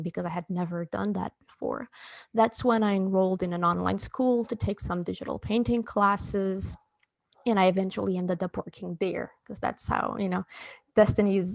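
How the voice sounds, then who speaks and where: A woman in her thirties from Portugal, telling her own story in a relaxed, chatty way full of fillers and restarts. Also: medium pitch, vocal fry, dry recording. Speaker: podcast host, interviewed as guest. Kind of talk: casual conversational storytelling.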